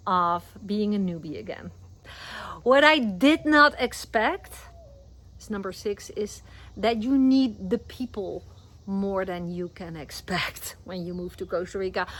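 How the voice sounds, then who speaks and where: A woman's voice: medium pitch, a bit bright, a little hiss or hum. A middle-aged woman from the Netherlands, a native Dutch speaker with a Dutch accent, giving earnest, encouraging advice in a casual vlog with loose non-native phrasing. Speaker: expat vlogger.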